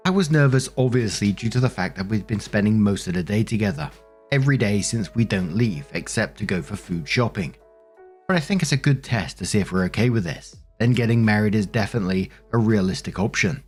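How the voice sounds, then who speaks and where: A man's voice: fairly low pitch, expressive, brisk, darkish tone, husky, faint music behind. A man reading online stories aloud in a chatty, conversational way, with casual commentary as the host.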